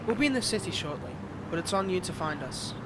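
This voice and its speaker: Over noticeable outdoor-like background noise comes a man acting a high-pitched male villain in a romance audio drama. He is smug and possessive toward a former lover, mixing theatrical flirting with veiled, taunting threats. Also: animated, fast. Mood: smug, menacing.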